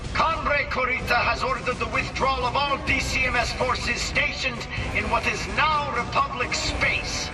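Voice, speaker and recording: High-voiced defiant revolutionary leader. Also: male voice. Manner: solemn, declamatory. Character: proud, defiant independence movement leader giving proclamation. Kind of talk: formal political speech.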